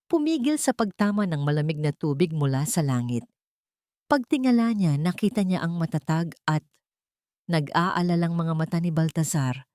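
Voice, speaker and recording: A woman's voice: low voice, warm tone, dry recording, clean studio sound. A woman narrating a scripted love story in a dramatic storytelling style.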